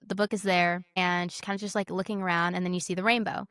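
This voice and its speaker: A woman's voice: fairly low pitch, level tone, fast, fairly bright tone, clear, resonant tone, dry recording, studio quality. A woman co-hosting a podcast in casual, conversational chat with a friend. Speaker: podcast co-host.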